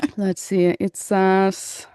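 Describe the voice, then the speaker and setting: A woman's voice: mid-range voice, flat delivery, slow pace, warm tone, smooth, dry recording. Giggly thirties woman reading out podcast comments, laughing a lot, dead dry sound. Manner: giggly, reads comments aloud with frequent laughter. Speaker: podcast co-host. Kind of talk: casual chat, reading listener feedback.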